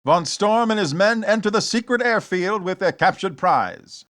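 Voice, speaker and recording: A man's voice: high voice, animated, moderate pace, fairly bright tone. A man acting as an urgent, dramatic narrator of a scripted radio serial. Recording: light background noise.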